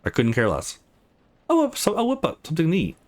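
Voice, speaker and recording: A man's voice: fairly high pitch, wildly swooping pitch, quick pace. A man voicing an upbeat, friendly young protagonist in a visual novel, playful and coaxing. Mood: cheerful.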